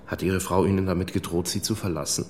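Man playing cop lieutenant, lowish voice, keeps asking calm factual questions, radio drama, noisy backdrop. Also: fast talker, close to an everyday voice. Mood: probing. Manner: matter-of-fact, persistent questioning. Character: controlled, probing male police lieutenant. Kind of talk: naturalistic radio drama acting.

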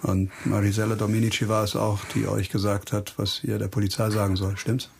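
An actor playing a grim, hard-bitten police investigator in a radio crime drama, speaking insistently and probingly, with music behind him. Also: male voice, lowish voice, monotone, brisk, creaky, slight background noise.

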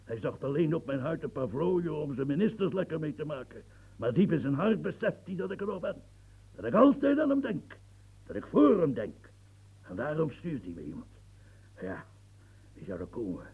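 A man's voice: on the high side, big pitch swings, normal speed, creaky, darkish tone, breathy edge, exaggerated voice. A man playing an aging, embittered French marshal in a radio play, stammering and repeating himself when agitated. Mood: bitter, worked-up.

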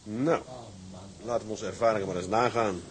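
A man's voice: fairly low pitch, steady tone, brisk, natural voice, rough recording. An actor playing a decisive, businesslike Dutch seaman who leads a group, in audio drama dialogue. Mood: brisk, businesslike. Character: decisive male Dutch seaman leading a shipping venture. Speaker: leader of a group of seamen.

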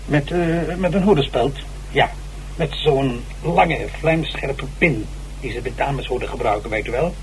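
A man's voice: medium pitch, a little slow, close to an everyday voice. Guy acting as a worked up old theatre man, boastful n stammering, radio play, bit of hiss and hum. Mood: nervous, confiding. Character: agitated, boastful old actor falsely confessing to murder. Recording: noisy, humming old radio recording.

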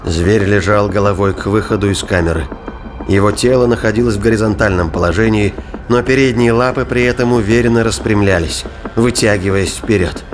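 A man's voice: on the low side, brisk, noisy background. A man reading scripted dramatic narration for a sci-fi thriller audio drama. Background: music.

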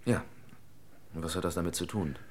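An actor playing a guarded, evasive writer under suspicion, terse and hesitant, in a 1960s German radio play. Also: male voice, low-pitched, moderate pace, darkish tone, close to an everyday voice. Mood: guarded, defensive. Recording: noisy 1960s radio recording, clicks.

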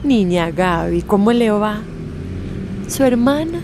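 A gossipy, indignant small-town lady in a radio serial. Her mid-pitched voice frets and complains over clear vehicle noise. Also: lively intonation, slow. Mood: gossipy, lamenting. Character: alarmist, indignant gossipy townswoman. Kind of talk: radio drama acting.

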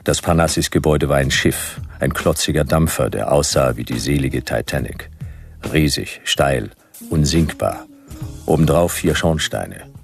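An actor playing a grumpy, world-weary private detective, narrating a radio play in deadpan, laconic, sardonic phrases. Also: male voice, extremely low pitch, unhurried, creaky, faint music behind, exaggerated voice.

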